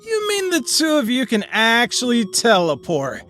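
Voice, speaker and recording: A man's voice: extremely high pitch, moderate pace, full character voice. A man playing a loyal young friend who is incredulous and full of questions in an audio drama. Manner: animated, incredulous. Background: faint music.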